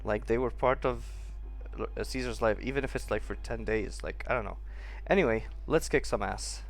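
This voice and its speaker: A man's voice: medium pitch, moderate pace, soft background music. A man giving casual gameplay commentary, reacting aloud and puzzling over the story as he plays. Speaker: streamer playing a story game.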